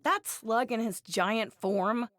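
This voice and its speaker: A woman's voice: a little high, slow, fairly bright tone, no echo, studio quality. An actress voicing a feisty young woman in an anime game, speaking bluntly and expressively with a scornful edge. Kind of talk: scripted game voice lines.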